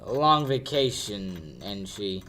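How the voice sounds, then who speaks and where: A young man acting a gruff, wisecracking detective in a 1940s radio play style, with clipped, wry delivery. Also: fairly low pitch, very animated, slow, bright, forceful tone, a little hiss or hum, heavily acted. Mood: wry, businesslike. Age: young adult. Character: gruff, wisecracking male private sleuth. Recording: amateur radio play recording.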